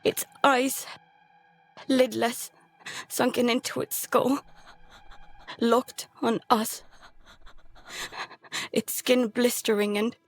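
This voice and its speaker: An actress playing a terrified girl who narrates a survival horror audio drama in halting, fragmented phrases. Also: a little high, steady tone, heavily acted. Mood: tense dread. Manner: halting, fragmented, hushed dread breaking into pleading.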